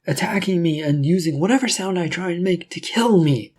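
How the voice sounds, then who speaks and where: A man voicing a harried gunslinger who shouts urgently and with exasperation at his companions in an audio story. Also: high voice, animated, brisk, voice pushed up. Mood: panicked.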